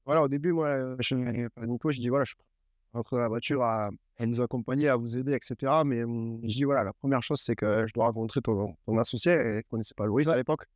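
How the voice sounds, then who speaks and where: A man's voice: medium pitch, fast, no room sound, clean studio sound. A man asking questions and telling a story in a casual improvised interview, hesitant and rambling with fillers.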